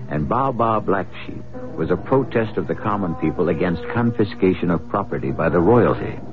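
A very deep, creaky-voiced storyteller introduces eerie tales. He is urbane and theatrical, measured yet full of swoops, in radio drama style. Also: heavily acted. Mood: ominous, wry. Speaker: host and narrator of a radio mystery series. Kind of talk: dramatic scripted narration, radio mystery. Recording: muffled lo-fi radio recording, music behind.

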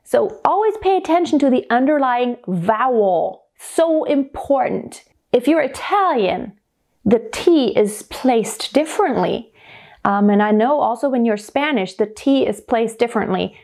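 A woman's voice: fairly high pitch, expressive, measured pace, darkish tone. A woman in her thirties with a light German accent gives a singing lesson to camera. She speaks animatedly in a home room with a piano and demonstrates sounds as she explains them. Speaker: singing teacher.

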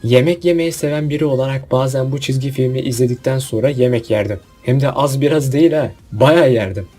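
Male commentator, husky and relaxed, opinionated and jokey, casual countdown-video delivery. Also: mid-range voice, brisk, soft background music. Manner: opinionated, jokey asides with rhetorical questions. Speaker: video host.

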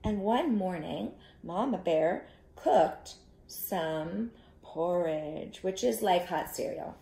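A middle-aged woman telling an interactive story to young children, expressive and instructive, inviting them to join in, recorded in a living room. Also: on the low side, takes it slowly, gravelly, echoey room. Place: small living room, indoors. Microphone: camera a short distance away.

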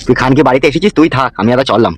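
A man's voice: medium pitch, lively intonation, very fast, no echo, close to an everyday voice. A man voicing a corrupt, bullying village politician, bossy and foul-mouthed, in a comic cartoon. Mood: exasperated, blustering.

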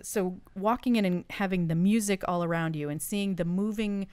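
A middle-aged woman co-hosting a casual home podcast, chatting enthusiastically and reminiscing, close on a studio boom mic. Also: normal speed, a little mellow, clear, resonant tone, no echo. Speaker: podcast co-host. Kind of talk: casual chat. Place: home studio room with shelves of collectibles. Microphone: studio mic on boom arm.

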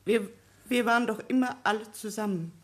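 A woman's voice: on the high side, brisk, lightly acted. Echoey, plaintive older mother, played by a woman. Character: weary, distressed grandmother clinging to her family. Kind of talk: German radio drama acting.

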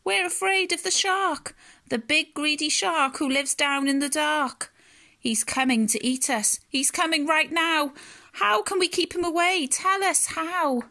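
A woman's voice: medium pitch, unhurried, few pauses, close to an everyday voice. A woman voicing a frightened, flustered little fish in a bedtime story, exclaiming and pleading in panic.

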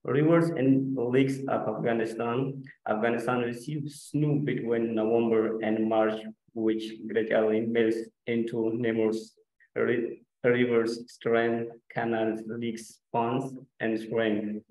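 A man, not a native English speaker, talks through his slides slowly and haltingly, filling gaps with "uh" and restarting words. His voice stays flat and unhurried. The video call audio is muffled and echoey. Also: mid-range voice. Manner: hesitant, many fillers and restarts. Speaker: student presenter.

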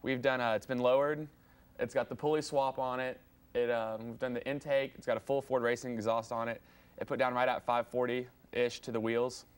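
A man's voice: medium pitch, flat delivery, fairly quick, clear, resonant tone. A young American man talking casually as an interview guest in an open garage. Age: young adult. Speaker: car owner being interviewed. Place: open home garage and driveway.

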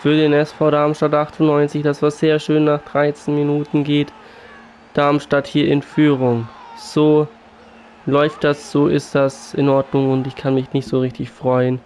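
A man with a cold and a sore throat, calmly commentating his own football video game match. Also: fairly high pitch, a little slow, slight background noise. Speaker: gaming YouTuber. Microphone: USB condenser mic. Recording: faint game music behind.

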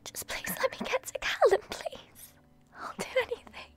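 A woman voicing a frightened young female student held captive, pleading in tearful breathy whispers. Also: normal speed, natural voice. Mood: desperate pleading. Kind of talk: audio roleplay drama.

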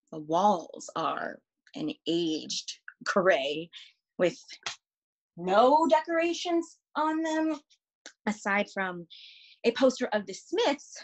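A young woman performing a dramatic monologue as an anxious, bewildered girl, pausing deliberately mid-sentence. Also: mid-range voice, poor audio. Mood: uneasy, observant. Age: young adult. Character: anxious, bewildered girl alone in a stranger's room. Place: small room indoors at home. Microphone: webcam at arm's length.

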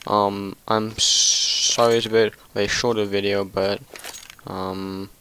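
A young man talks casually over his gameplay, stuttering on many words and filling the gaps with ums and restarts. His voice sits a little low and he sounds tired. A faint hiss runs under his desk mic. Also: flat delivery, slow, sharp tone, poor audio. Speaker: gaming channel host.

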